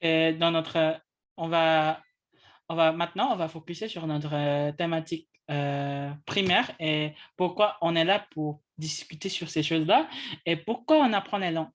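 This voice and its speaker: A man's voice: fairly high pitch, unhurried. A man whose first languages are English and Indonesian, co-hosting a casual discussion and often restarting his phrases. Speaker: co-host.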